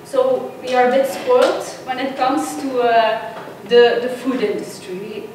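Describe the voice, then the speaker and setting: High-voiced woman lecturing. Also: fairly even intonation. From Belgium. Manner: friendly, frequent "uh" pauses. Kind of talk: guest lecture. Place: large echoey lecture hall. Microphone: distant room mic. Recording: echoey hall from far off, noisy.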